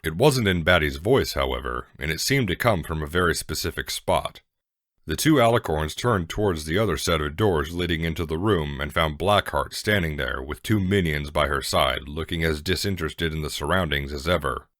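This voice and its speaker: A man with an extremely deep voice narrates a story from a script. The recording is dry and close, with little room sound. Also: animated, moderate pace, a bit bright, dry recording.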